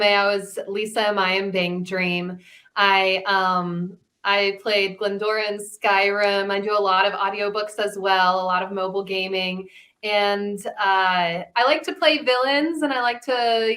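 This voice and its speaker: A woman's voice: medium pitch, bright, forceful tone. A woman voice actor introducing herself casually as a guest on a panel.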